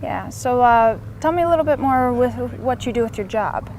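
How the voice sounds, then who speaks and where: A woman's voice: a little high, expressive, normal speed, a little mellow, a little hiss or hum. Friendly woman host asking questions outdoors. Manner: friendly, prompting questions, short reactions. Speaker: naturalist, show host. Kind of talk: hosting an outdoor interview. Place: outdoors by a lake.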